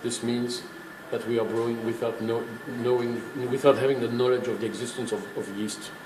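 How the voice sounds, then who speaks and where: A man's voice: medium pitch, little pitch movement, fairly quick. A man working as a brewery tour guide, explaining things informally in an emphatic, opinionated, didactic way. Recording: echoey room, clear background noise.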